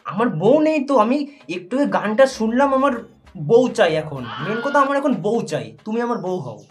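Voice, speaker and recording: A man's voice: very high-pitched, sing-song, moderate pace. A man chatting casually as a playful, insistent prank caller. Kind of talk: casual comic phone prank chat. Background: music.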